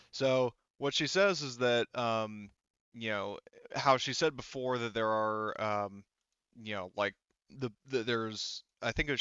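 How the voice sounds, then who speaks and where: A man running a tabletop roleplaying game, narrating in a halting, conversational way and paraphrasing what characters say. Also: medium pitch, pushed and bright, smooth, dry recording, highs cut off. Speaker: game master.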